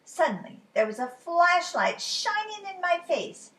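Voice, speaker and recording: A woman reading a children's story aloud as storytime reader, expressive and dramatic in delivery. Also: very high voice. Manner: expressive, dramatic pauses, drops to whispers. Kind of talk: animated children's story narration.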